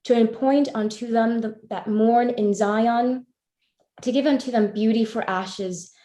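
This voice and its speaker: A woman's voice: a little high, fairly even intonation, unhurried, warm tone, natural voice. A woman playing an earnest, devout young woman, speaking formally in a live staged play reading. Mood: earnest, solemn. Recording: roomy, echoing voice pickup.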